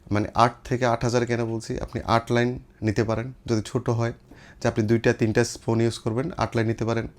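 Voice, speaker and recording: A man's voice: medium pitch, a little mellow. A man with a Bangladeshi accent giving an informal sales demonstration, explaining things step by step with repetition and English trade words. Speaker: seller presenting products for an electronics shop.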